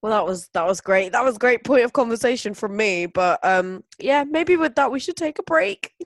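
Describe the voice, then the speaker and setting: A woman's voice: on the high side, lively intonation, normal speed, no room sound, clean studio sound. A woman hosting a podcast in casual chat, talking with loose restarts and frequent laughs, with a slight hay fever cough. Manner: chatty, laughs often, trails off. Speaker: podcast host.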